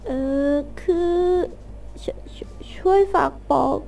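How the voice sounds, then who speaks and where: A woman's voice: slow pace, darkish tone, a little hiss or hum, close to an everyday voice. A woman voicing a timid, whiny, heartbroken schoolboy in a cartoon fan drama, hesitant and pleading. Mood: nervous.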